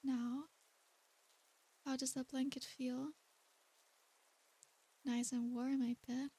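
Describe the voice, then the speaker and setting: A high, breathy female demon, acted by a woman dotes on the listener in an ASMR roleplay. This tender succubus coaxes softly and soothingly, taking her time over every phrase and using affectionate pet names. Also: flat delivery, slow, full character voice. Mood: affectionate, coaxing. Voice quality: soft, breathy.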